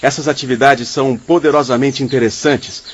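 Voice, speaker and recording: A man's voice: medium pitch, steady tone, fairly quick, dull audio, a little hiss or hum, close to an everyday voice. An actor with a Brazilian accent playing a dignified, benevolent male spirit leader in a radio drama, formal and authoritative. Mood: courteous, assured.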